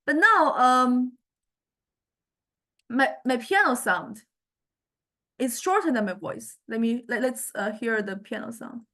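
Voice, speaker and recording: Higher-pitched woman lecturing, halting and hesitant. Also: sing-song, sharp tone. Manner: hesitant, halting, often repeating words. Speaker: music technology lecturer. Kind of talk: informal software demonstration lecture.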